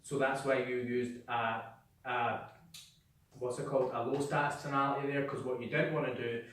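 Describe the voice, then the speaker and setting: A man's voice: medium pitch, little pitch movement, moderate pace, fairly bright tone. A man giving a blunt, sweary sales training talk to a small group, acting out how people sound. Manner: blunt, swears freely, acts out voices. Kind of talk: workshop talk. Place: indoor room with a small group. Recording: echoey room, mic far off.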